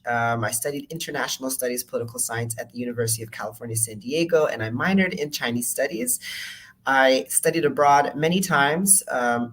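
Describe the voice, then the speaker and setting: A man's voice: medium pitch, fairly bright tone. Chatty man in his thirties. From United States. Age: 30s. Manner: casual and chatty, with frequent fillers. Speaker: guest. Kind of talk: interview storytelling.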